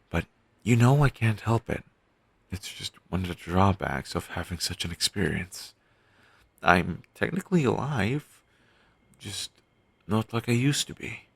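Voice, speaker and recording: A man playing a weary, caring undead best friend in an intimate audio roleplay, talking casually and earnestly with halting phrases. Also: deep voice, vocal fry, fairly bright tone, slightly rough, no echo, heavily acted. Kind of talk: audio roleplay, intimate one-sided dialogue.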